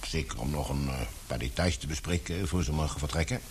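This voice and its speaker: A man acting in a Dutch radio play as a businesslike, fatherly middle-aged employer with a measured, authoritative manner. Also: extremely low pitch. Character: businesslike, fatherly older male employer, concerned for daughter. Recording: hissy radio play recording.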